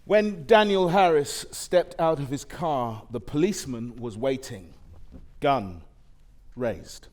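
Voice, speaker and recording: A man giving a dramatic poetry recital on stage, measured and weighty, with deliberate pauses. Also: mid-range voice, darkish tone. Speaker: actor reading poetry on stage. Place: theatre stage.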